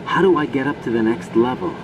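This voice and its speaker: A man's voice: mid-range voice, quick pace, lightly acted. An actor playing a young covert operative in a video game, earnest, inquisitive and slightly cocky as he reports in. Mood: cocky, curious. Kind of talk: video game voice acting, radio conversation. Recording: distant-sounding voice with clear background noise.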